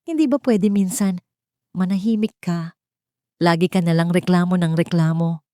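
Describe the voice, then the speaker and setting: A woman's voice: lowish voice, moderate pace, mellow, dry recording, studio quality, lightly acted. Woman narrator as an irritated, dismissive husband. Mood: blaming. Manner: curt, sarcastic. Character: cold, irritated, dismissive husband blaming his wife.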